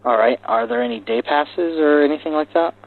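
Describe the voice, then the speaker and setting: A man's voice: on the high side, brisk, vocal fry, narrow, tinny audio. A man speaking as a podcast host, interviewing guests in a conversational way.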